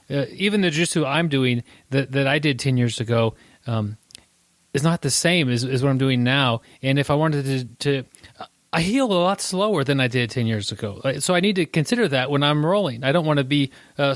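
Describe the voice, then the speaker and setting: Podcast host with a dry sound. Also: male voice, medium pitch, dry recording. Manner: thoughtful, halting, many restarts. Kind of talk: casual interview chat.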